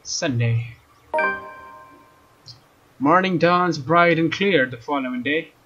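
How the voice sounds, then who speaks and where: A man's voice: a little high, takes it slowly, lo-fi sound. A man doing a let's play, reading a visual novel aloud. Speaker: let's player. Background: guitar game music.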